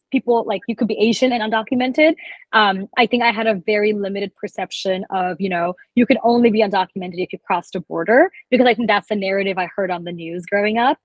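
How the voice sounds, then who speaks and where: A woman's voice: mid-range voice, no room sound, low-quality recording. A young adult woman from Bangladesh, a guest telling her story in a thoughtful, earnest way. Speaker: guest, immigrant rights advocate. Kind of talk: casual interview.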